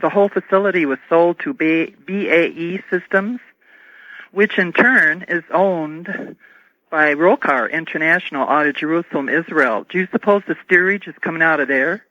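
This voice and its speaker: A woman calling in to a radio talk show, asking questions with hesitant pauses. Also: low voice, no room sound. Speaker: radio call-in listener. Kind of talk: call-in question. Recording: dull dry call-in radio line.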